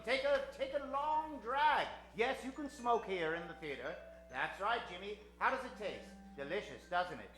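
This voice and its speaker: A man's voice: sits high, pushed and bright, exaggerated voice. A man playing a bombastic, theatrical old-time radio announcer on a live superhero comedy serial. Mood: salesmanlike. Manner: theatrical, emphatic, grandiose. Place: theater stage before a studio audience. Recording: roomy live stage with music.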